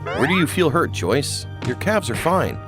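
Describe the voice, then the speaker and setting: A woman voicing an easygoing, friendly high school boy in an animated story. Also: a little slow, loud music behind the voice. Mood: concerned, hesitant.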